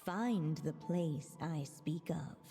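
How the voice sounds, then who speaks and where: Slow, solemn, mystical storyteller. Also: female voice, deep voice, mellow. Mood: solemn, mysterious. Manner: solemn, measured, poetic. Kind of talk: anime game riddle narration. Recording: long hall reverb with music.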